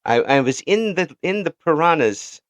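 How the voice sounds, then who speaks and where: A man's voice: a little high, expressive, moderate pace, background noise. A middle-aged man hosting a casual interview, joking and self-mocking with frequent laughs. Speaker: interview host.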